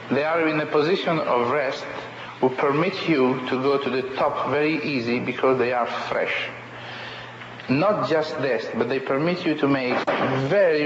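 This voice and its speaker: Slow Italian-accented man in echoey hall. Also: on the high side, lo-fi sound, background noise. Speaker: opera singer.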